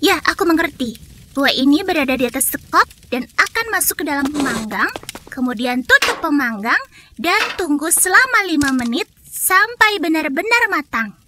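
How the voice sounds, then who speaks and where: A woman's voice: high voice, expressive, normal speed, background noise. A woman voicing a naive, playful little girl in a cartoon fairy tale, childlike and exclamatory. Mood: curious. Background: music.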